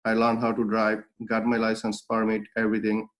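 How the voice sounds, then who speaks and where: A man's voice: on the low side, completely flat delivery, a little fast, fairly bright tone. A young man from Bangladesh, a native Bengali speaker, tells his life story as an interview guest, earnest and reflective, with many fillers and restarts. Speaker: guest, clothing brand founder.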